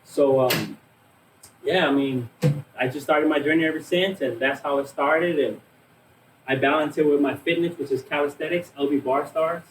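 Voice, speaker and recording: A man in his thirties tells his story in a loose, rambling way, full of fillers and restarts. His voice sits a little high for a man. He was recorded from a distance in an echoey room, with faint noise behind him. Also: moderate pace. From Hawaii, raised in Las Vegas. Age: 30s. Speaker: vegan cook and guest. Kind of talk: casual storytelling.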